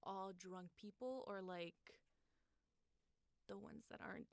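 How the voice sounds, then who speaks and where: Podcast host, female, around 20. Smooth voice, dry close recording, informal interviewing style. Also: mid-range voice, takes it slowly, no room sound. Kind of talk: casual chat, interviewing.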